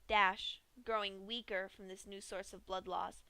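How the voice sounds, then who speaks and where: A woman reading a horror fan story aloud in a steady, measured storytelling voice. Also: medium pitch, no room sound. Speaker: fanfic reader.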